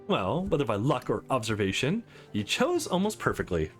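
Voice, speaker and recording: A man's voice: mid-range voice, huge pitch swings, moderate pace, faint music behind. Guy doing a sardonic boss lady from a visual novel, teasing and sure of herself, swoopy intonation. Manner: teasing, probing. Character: sardonic, confident female business boss on a dinner date.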